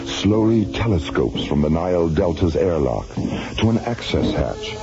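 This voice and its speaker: A man delivering dramatic scripted radio narration as announcer and storyteller, grand and deliberate. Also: low voice, expressive. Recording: lo-fi radio recording, loud music bed.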